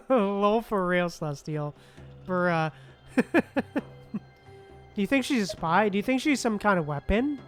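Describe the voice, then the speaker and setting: A woman's voice: mid-range voice, animated, slow, pauses often, faint music behind. A woman streaming a game with casual commentary in a giggly, slangy manner.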